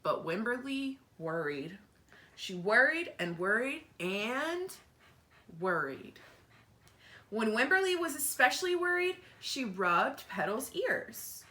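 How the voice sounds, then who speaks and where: A woman's voice: a little high, slow. A woman teacher reading a picture book aloud to children, expressively, with casual explanatory asides. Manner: expressive, explains to young listeners. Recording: roomy, echoey home recording.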